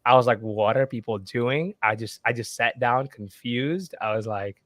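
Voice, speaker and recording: A man's voice: mid-range voice, normal speed, a bit bright, no echo. A man in his twenties from Indonesia, English his second language, chatting casually as a podcast guest with many fillers and restarts. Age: 20s. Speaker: guest, marketing agency owner.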